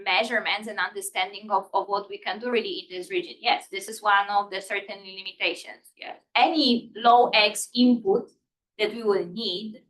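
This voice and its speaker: A woman's voice: medium pitch, moderate pace, a bit bright, rough recording. A woman with a Polish accent giving an academic physics lecture, enthusiastic, with frequent fillers and restarts. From Poland. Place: lecture hall.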